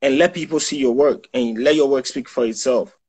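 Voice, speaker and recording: A man's voice: medium pitch, a little fast, a little mellow, slightly rough. A young man from Ghana, a self-taught photographer and videographer, talking casually on a video call and peppering his speech with "yo" and "you know what I'm saying". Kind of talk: casual interview chat. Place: indoors, small room.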